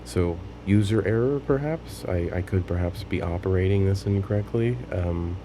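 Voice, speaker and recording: A man with a very low voice talks casually through a hands-on demonstration. His explanations come out tentative and unscripted, halting as he works them out. There is clear noise throughout the recording. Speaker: hobbyist demonstrating a tape machine repair.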